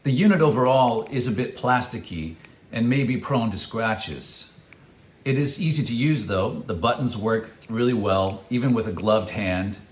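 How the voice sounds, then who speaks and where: A man presenting a product review as scripted narration, with measured, clearly phrased delivery. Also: on the low side, lively intonation. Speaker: product reviewer and channel host.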